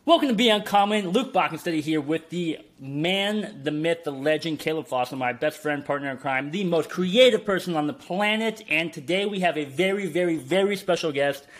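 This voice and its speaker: A man's voice: on the high side, normal speed. Enthusiastic man hosting a podcast. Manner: enthusiastic, effusive. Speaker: podcast host. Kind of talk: casual interview.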